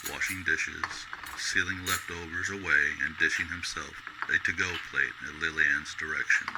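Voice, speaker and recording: A man with a low voice narrates a radio drama like a storybook. His delivery is deliberate and descriptive, with noticeable noise behind the voice.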